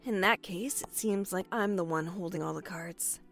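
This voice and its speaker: An actress playing a bitter, defiant survivor of a killer in an audio horror drama, curt, guarded and sarcastic. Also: female voice, on the low side, normal speed, fairly bright tone, soft background music. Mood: defiant, cold.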